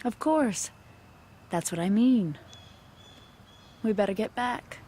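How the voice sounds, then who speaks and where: A prim, pious, judgmental handmaid. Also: female voice, mid-range voice, expressive, slow, dark tone, slightly rough. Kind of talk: audio drama dialogue. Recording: light ambient scene noise.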